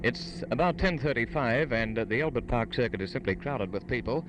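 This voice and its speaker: A man's voice: mid-range voice, fairly quick. A man giving formal, hesitant live motor racing commentary and interviews in the manner of 1950s radio. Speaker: radio sports broadcaster. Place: outdoors trackside at a motor racing circuit. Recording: noisy 1958 outdoor radio broadcast. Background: racing cars and engine noise.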